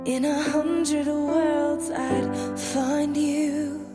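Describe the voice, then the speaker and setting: A woman speaking tender wedding vows as a voice-over, slow and drawn out. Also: high voice, level tone. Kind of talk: vows read over music. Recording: voice-over under loud music.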